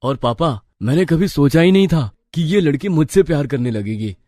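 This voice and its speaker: Man acting a young villager, moral story dialogue, fairly high pitch, excitable, plain-spoken. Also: normal speed, natural voice. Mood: subdued, sincere.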